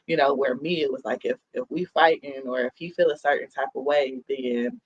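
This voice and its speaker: A man's voice: medium pitch, fairly quick, muffled recording. A man in his thirties hosting a podcast, talking in a reflective, earnest way and often checking in with "you know what I mean?". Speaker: podcast host. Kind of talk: casual chat.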